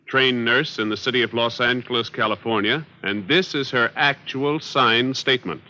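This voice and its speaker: A man's voice: mid-range voice, normal speed, bright, forceful tone. A man announcing a 1950s radio show and its sponsor in a formal, declarative, projected style. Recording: dull old radio recording.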